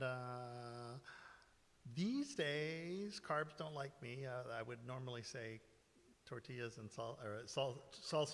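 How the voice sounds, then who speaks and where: A man in his sixties, founder of a ministry, speaking to a church group in a loud, friendly, self-deprecating way. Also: mid-range voice. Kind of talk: casual talk to a church group. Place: church meeting room. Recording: roomy hall reverb.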